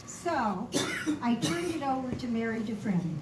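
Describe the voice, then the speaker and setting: Woman host giving an intro, talks real slow, echoey hall. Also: on the high side, sing-song. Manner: slow, measured and grateful. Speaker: event host. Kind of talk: introducing a speaker. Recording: echoey hall from far off, noisy.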